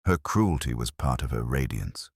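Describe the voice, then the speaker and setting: A man's voice: extremely low pitch, expressive, normal speed, breathy edge, dry recording, clean studio sound, pitched below the performer's own voice, full character voice. A man voicing an anguished, devoted playwright in an audiobook, with florid, theatrical phrasing. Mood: rueful devotion. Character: anguished lovestruck male playwright, dramatic and devoted. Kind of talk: audiobook character dialogue.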